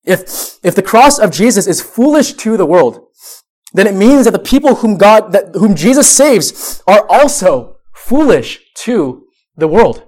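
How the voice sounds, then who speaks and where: A young man with a cold, sniffling, giving an earnest sermon to a high school youth group with self-deprecating asides. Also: sing-song, measured pace, a little mellow. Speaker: youth pastor.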